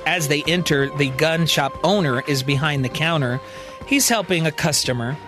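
A man's voice: fairly high pitch, normal speed. A man narrating a scripted science-fiction serial as a dramatic storyteller. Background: music.

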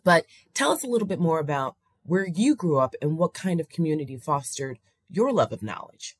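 A woman's voice: sits low, sing-song, fairly quick, slightly rough, dry recording, studio quality. An American woman hosting an interview show, moving between read-out history and conversational questions. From United States.

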